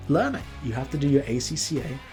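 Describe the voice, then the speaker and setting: A man's voice: mid-range voice, sing-song, fast, darkish tone. Earnest young man giving career advice. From Nepal. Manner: earnest, poses questions to the viewer. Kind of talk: advice vlog to camera. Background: faint background music.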